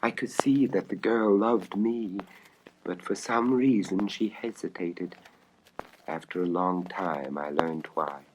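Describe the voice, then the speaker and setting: Man acting out an anguished storybook king, slow and impassioned. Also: sits low, slight background noise, rough recording. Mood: wistful, impassioned. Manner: impassioned, halting, confessional. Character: anguished, lovesick, secretive king. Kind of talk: storybook audio dramatization.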